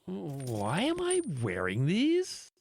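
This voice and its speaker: A man's voice: on the high side, wildly swooping pitch, extremely slow, gravelly, slight background noise, exaggerated voice. A man playing a suggestible, docile travel agent husband in a comedic audio drama. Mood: cheerful, sentimental.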